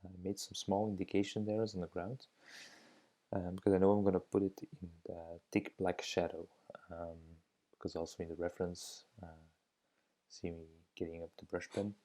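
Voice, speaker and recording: A man's voice: deep voice, flat delivery, moderate pace, dark tone. A young Belgian man who speaks Dutch as his first language, giving hesitant, thoughtful voice-over commentary on his drawing, with many fillers. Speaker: drawing teacher.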